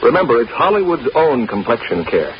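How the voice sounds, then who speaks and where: Casting: male commercial announcer, 1950s radio style, salesmanlike, lively inflection, hissy recording. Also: mid-range voice, fairly quick, highs cut off. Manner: emphatic, salesmanlike. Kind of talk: scripted 1950s radio advertising.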